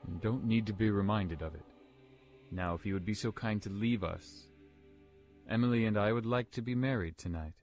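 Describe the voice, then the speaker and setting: An actor playing a curt, impatient, formal bridegroom and master in a gothic soap audio drama. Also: male voice, fairly low pitch, moderate pace, darkish tone, soft background music, natural voice. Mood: curt impatience.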